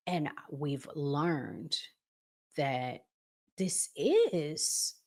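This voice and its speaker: A middle-aged woman hosting an informal advice talk for women, speaking in an emphatic, deliberate way with preacher-like phrasing. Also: low-pitched. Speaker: podcast host giving marriage advice.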